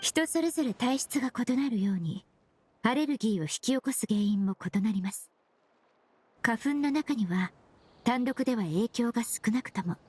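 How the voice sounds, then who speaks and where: A woman's voice: on the high side, warm tone, breathy edge. A woman playing a polite, composed young female perfumer in an anime game, speaking formally and knowledgeably. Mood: calm, explanatory. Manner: courteous, formal, measured. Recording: music behind the voice.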